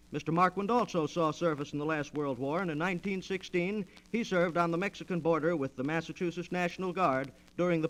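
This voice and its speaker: Man, 1940s radio announcer, slightly high voice, formal polished delivery, vintage disc recording. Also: fairly bright tone, rough recording. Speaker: radio host introducing guests. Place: radio studio, around a table.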